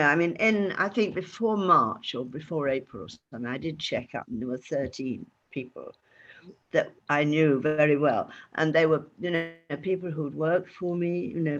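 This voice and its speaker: A woman's voice: deep voice, lo-fi sound. An older woman chatting frankly as an interview guest over a video call. She laughs often. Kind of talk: casual interview chat.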